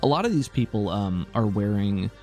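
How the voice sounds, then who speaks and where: A man's voice: fairly low pitch, lively intonation, moderate pace, a little mellow, faint music behind. Laid-back man running a tabletop game. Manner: laid-back, filler-heavy narration. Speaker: game master.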